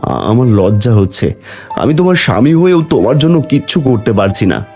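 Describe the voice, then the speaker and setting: A man playing a gentle, apologetic young husband and dutiful son in a cartoon folk tale, soft-spoken and earnest. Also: mid-range voice, sing-song, normal speed, soft background music. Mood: regretful. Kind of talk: Bengali cartoon fairy-tale voice acting and narration.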